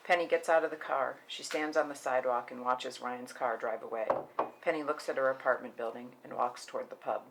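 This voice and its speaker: A woman's voice: a bass voice, fairly even intonation, fairly quick, heavy background noise. A woman reading scripted stage directions at a table read.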